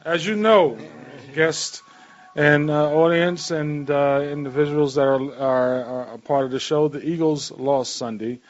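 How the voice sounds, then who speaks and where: A man's voice: on the high side, steady tone, a little slow. A man hosting a radio talk show in casual, rambling, repetitive chat. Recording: dull lo-fi radio stream.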